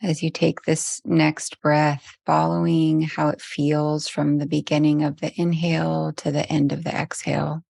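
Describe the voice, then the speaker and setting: A woman guides a meditation in a low voice with soft creak at the edges. Her delivery is very even and gentle, leaving long pauses between phrases. The sound is close and dry. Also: no echo. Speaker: meditation teacher.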